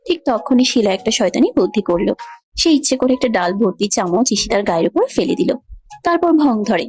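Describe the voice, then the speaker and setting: A woman's voice: on the high side, sing-song, quick pace, a little mellow. A woman reading scripted narration for a romantic story.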